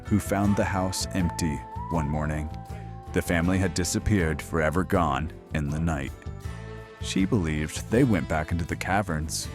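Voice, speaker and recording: An actor playing an eerie, wryly playful late-night radio host, narrating horror in a measured, ominous way. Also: male voice, deep voice.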